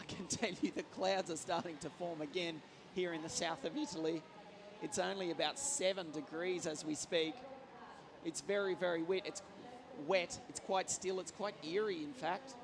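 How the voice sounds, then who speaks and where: A man giving live motorsport commentary from trackside, rattling off names and results with enthusiasm. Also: high voice. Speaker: race commentator. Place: trackside at a karting circuit in southern Italy.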